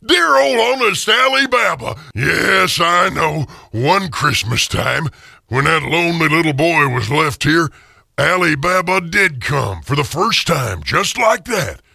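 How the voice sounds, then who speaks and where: A man playing an emotional, remorseful old miser with a gravelly, raspy voice in an audio drama. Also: fairly high pitch, sing-song, measured pace, pushed and bright, no room sound, studio quality, voice pushed up, heavily acted. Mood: excited, delighted. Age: older.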